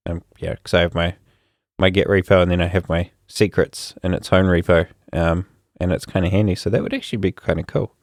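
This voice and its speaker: A male podcast co-host with a low voice, recorded dry and close with no room sound. He chats casually and easily, often with short agreeing replies. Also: fairly even intonation, brisk, dry recording. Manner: easygoing, brief agreeing replies. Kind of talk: casual tech podcast chat.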